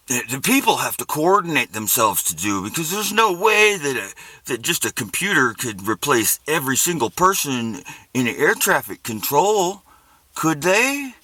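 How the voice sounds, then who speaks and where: A man's voice: medium pitch, pushed and bright, no echo. A man giving a rambling, off-the-cuff monologue as a vlogger, with a sarcastic, mock-earnest manner.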